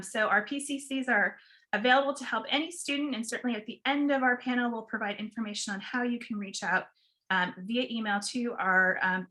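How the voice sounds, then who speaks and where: A woman directing professional development, opening a video-call student panel with welcome remarks and frequent ums. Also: fairly high pitch. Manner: many ums, steady and organized. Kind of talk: welcoming event introduction.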